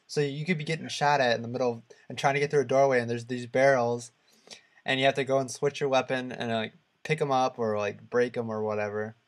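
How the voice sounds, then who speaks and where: A man with a mid-range voice chats casually as co-host of a gaming podcast. He speaks haltingly and rambles as he gives his opinions. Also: medium pitch, flat delivery.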